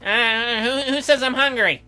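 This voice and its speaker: A man voicing a flustered, stammering teenage high school boy in a visual novel game. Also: unhurried, sharp tone, clear, resonant tone, faint music behind, heavily acted.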